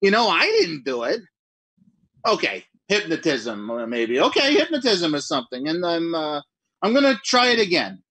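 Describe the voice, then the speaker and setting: A jittery rambling writer. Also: male voice, on the high side, huge pitch swings, normal speed, pushed and bright, breathy edge, no room sound, full character voice, rough recording. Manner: rambling, self-mocking, jumpy. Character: jittery lone male writer talking to himself. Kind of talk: 1940s radio acting.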